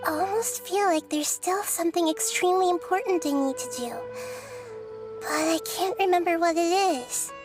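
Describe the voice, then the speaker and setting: Small wise game deity, very high girlish voice, gentle, measured, compassionate, background music. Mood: pensive. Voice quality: soft, girlish. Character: gentle, wise child-sized female nature deity, calm and compassionate. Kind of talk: video game character acting.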